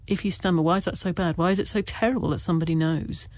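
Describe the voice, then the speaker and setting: A man from Wales being interviewed as an author, speaking with a stammer in halting, earnest, reflective stories full of restarts. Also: high voice, steady tone, a little mellow, no room sound. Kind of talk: candid conversational interview.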